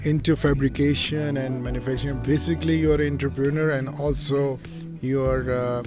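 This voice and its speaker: A man's voice: medium pitch, level tone, a little fast. A man hosting an interview, who speaks hesitantly with many fillers. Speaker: host. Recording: music playing underneath.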